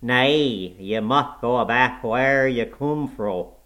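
A man's voice: mid-range voice, slow, fairly bright tone, pitched above the performer's own voice. A man voicing a surly old male servant with a nasal, snarling delivery.

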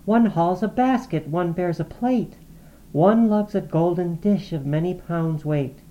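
A woman's voice: fairly low pitch, expressive, measured pace, lo-fi sound, pitched above the performer's own voice. A woman voicing a curious young girl in an urgent, wondering way.

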